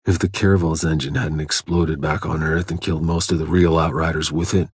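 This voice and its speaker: A man playing a gruff, sardonic, battle-worn soldier in a sci-fi video game, with a gritty, husky voice and a terse, dry delivery. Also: very deep voice, little pitch movement, brisk, no echo.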